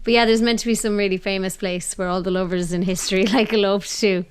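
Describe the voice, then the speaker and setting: A woman's voice: medium pitch, fairly even intonation, fast talker, clear, resonant tone. An Irish woman chatting casually as the host of a podcast. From Ireland. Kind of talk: casual chat.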